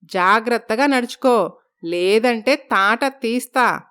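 A woman's voice: on the high side, slow pace, a bit bright, smooth. A woman voicing a stern, proud middle-aged mother-in-law who gives bossy warnings in a storybook. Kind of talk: storybook dialogue.

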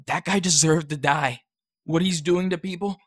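A man's voice: a little high, fairly even intonation, moderate pace, no room sound, studio quality, natural voice. A man playing a young, guilty yet defiant kid among criminals in an audio drama, hesitant and earnest.

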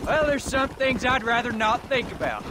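An actor playing a weary frontier gunslinger, dry, sardonic and clipped, in a video game cutscene. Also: male voice, very high voice, big pitch swings, moderate pace. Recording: game audio with outdoor ambience noise.

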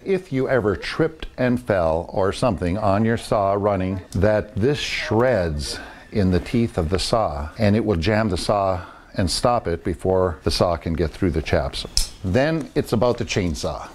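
A man's voice: low-pitched, animated, unhurried. A middle-aged man with an American accent, giving casual hands-on instruction as a carver. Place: open workshop and yard. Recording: loud outdoor workshop noise.

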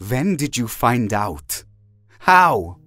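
A wounded, insistent young mutant man played by an actor in an audio drama. His questioning voice swings widely in pitch, dry and close over music. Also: very animated, drawn-out words, sharp tone, dry recording, heavily acted. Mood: probing. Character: hurt young male mutant confronting his mother. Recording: music under dry dialogue.

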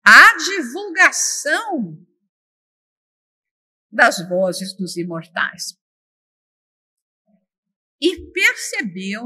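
A middle-aged woman giving a passionate, expressive lecture from a lectern in a large auditorium, often restarting her phrases. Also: on the high side. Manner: enthusiastic, expressive, with frequent restarts. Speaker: opening speaker at a spiritist congress. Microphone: lectern microphone.

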